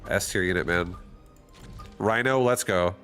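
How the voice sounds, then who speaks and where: Low-voiced man narrating his game. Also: a little slow, a bit bright, faint music behind. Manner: upbeat, thinking aloud about strategy. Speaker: gaming streamer. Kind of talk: casual gameplay commentary.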